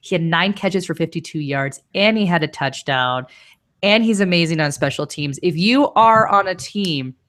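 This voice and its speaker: A woman's voice: lowish voice, animated, normal speed, fairly bright tone. A woman hosting a fantasy football podcast in casual chat, giving confident, firm advice.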